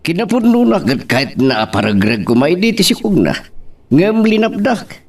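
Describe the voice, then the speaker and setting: A man's voice: sits high, very animated, normal speed, exaggerated voice. A man playing a grave, emotional older father giving counsel in an audio love drama, with emphatic, dramatic delivery. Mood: earnest, emotional. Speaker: voice actor in a drama.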